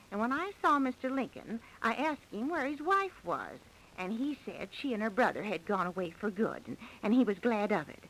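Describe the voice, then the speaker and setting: A woman's voice: a little high, a bit bright, slight background noise, low-quality recording. An actress playing a worried, nosy middle-aged housewife in a 1930s radio drama, hesitant and folksy in her talk. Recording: vintage 1930s radio broadcast.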